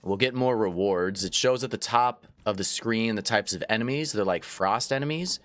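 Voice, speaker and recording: A man's voice: on the low side, normal speed, a bit bright. Chatty man with a stuffy nose. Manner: casual, chatty, addresses viewers as guys. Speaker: gaming channel host. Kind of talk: let's play commentary. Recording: faint game music behind.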